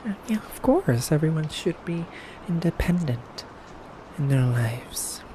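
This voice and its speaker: Man acting as a haughty male vampire, slow unhurried delivery, mock-polite, condescending, intimate ASMR roleplay, noisy ambient background. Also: fairly high pitch, lively intonation. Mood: probing, mock-polite. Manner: hesitant pauses, condescending mock politeness, soft chuckling. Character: haughty, teasing pure-blood male vampire interrogating a human captive.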